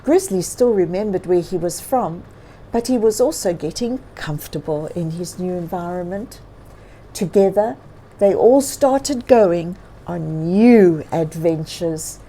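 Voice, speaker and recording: Woman reading a kids book out loud, slow, super animated pitch, dramatic. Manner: dramatic, expressive emphasis. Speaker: children's read-aloud storyteller. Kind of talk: expressive picture-book narration with asides to children.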